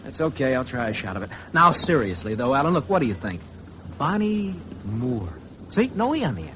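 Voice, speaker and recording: An actor playing a brash, excitable American man with an eager, insistent delivery in a 1950s radio drama. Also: medium pitch, a little fast. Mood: eager, pushy. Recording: faintly noisy vintage radio recording.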